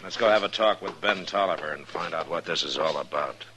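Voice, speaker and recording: An American man acting a calm, patient frontier marshal in a 1950s radio western, speaking in a measured way with hesitant uhs. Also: a bass voice, music in the background, poor audio. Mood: steady, businesslike. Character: calm, steady adult male US marshal in frontier west.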